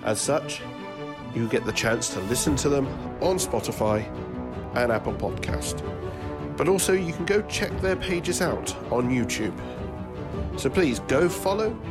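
Guy doing a podcast announcement, medium pitch, music loud behind him. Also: animated, a little slow. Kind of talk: scripted promo announcement.

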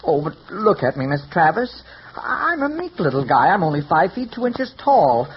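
A man's voice: sits high, very animated, normal speed. A muffled, hissy vintage radio recording carries a meek little hairdresser. He is fussy and self-deprecating, forever hesitating and apologizing in the manner of 1940s radio comedy. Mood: meek, fretful.

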